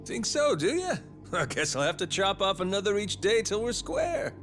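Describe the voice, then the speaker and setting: A yakuza pirate captain from a video game. He is theatrical and folksy, with swooping intonation and a taunting, playful menace. Music plays clearly behind him. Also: high-pitched, sing-song, moderate pace, fairly bright tone. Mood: mocking menace. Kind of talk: English dub video game voice acting.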